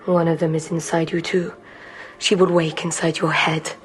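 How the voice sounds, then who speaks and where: A woman's voice: low voice, flat delivery, natural voice. A woman playing a defiant, dying prisoner in an audio drama, speaking cryptically and taunting her interrogator. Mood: ominous. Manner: slow, cryptic, breathy whispering.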